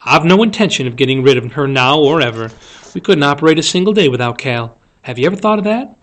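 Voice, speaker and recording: A man voicing a stern, measured father in a storybook, firm and flinty. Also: fairly high pitch, voice pushed up, lightly acted.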